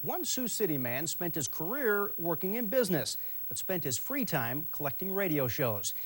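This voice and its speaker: A man's voice: fairly high pitch, big pitch swings, moderate pace. A man narrating a scripted television news feature as a reporter. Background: music.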